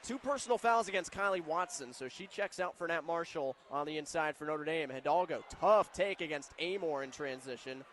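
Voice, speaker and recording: A male radio announcer with a somewhat high voice narrates live basketball play by play. Faint arena noise runs behind him. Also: a little fast, few pauses, fairly bright tone.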